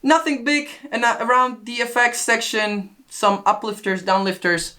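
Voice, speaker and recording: A man's voice: very high-pitched, steady tone, normal speed, bright, forceful tone. A man who produces music, talking through how a track was put together. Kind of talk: production walkthrough.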